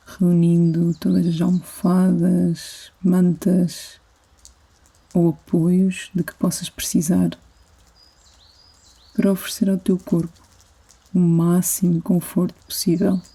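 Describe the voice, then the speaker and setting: A woman with a European Portuguese accent guides a sleep meditation as a yoga instructor, soothing and gentle, with long pauses between phrases. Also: fairly low pitch, creaky, breathy edge.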